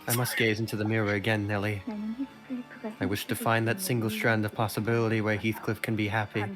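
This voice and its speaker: Wistful, solemn young noblewoman, voiced by a male amateur, game story narration with clear background music. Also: flat delivery, fairly quick, lightly acted. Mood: mournful. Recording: low-quality game capture under music.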